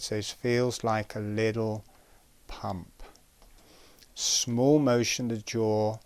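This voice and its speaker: A man giving guided breathing instruction in a calm, gentle, measured way, pausing between short phrases. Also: fairly low pitch, clear, resonant tone, dry recording.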